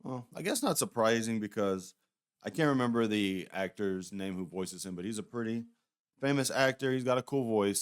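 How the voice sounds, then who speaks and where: A man with a fairly low, smooth voice talks through a casual review. His delivery is conversational and unscripted, wandering from point to point and hedging as he goes. The tone is clean and resonant. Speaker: YouTube reviewer.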